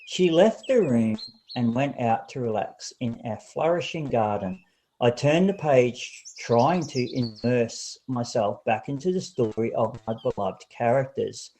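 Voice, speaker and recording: A man reading a story aloud as an audiobook narrator, in a deliberate, expressive storybook manner. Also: mid-range voice, a little hiss or hum, low-quality recording.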